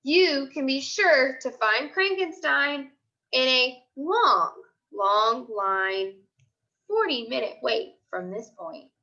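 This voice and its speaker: A woman teacher reading aloud to young children in an expressive storytelling way, with deliberate pauses. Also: sits high, muffled recording. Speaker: elementary school teacher.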